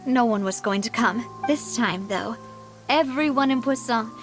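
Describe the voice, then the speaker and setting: A high, bright-spirited young woman leader acted by a woman in a video game, breezy and confident, sure of herself and expressive as she speaks. Light music plays underneath. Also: unhurried, natural voice. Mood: chatty, candid.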